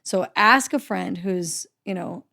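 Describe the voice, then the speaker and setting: A woman's voice: medium pitch, takes it slowly, gravelly, pushed and bright. A woman co-hosting a podcast, giving casual, encouraging advice and laughing often. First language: English. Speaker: podcast co-host. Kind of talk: casual chat.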